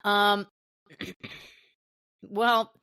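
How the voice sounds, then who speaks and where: A woman's voice: mid-range voice, flat delivery, drawn-out words, sharp tone, clear, resonant tone, no echo. A middle-aged woman chatting as a podcast guest, telling self-deprecating stories in a loose, rambling way. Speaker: podcast guest, actor and musician. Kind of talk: casual interview chat.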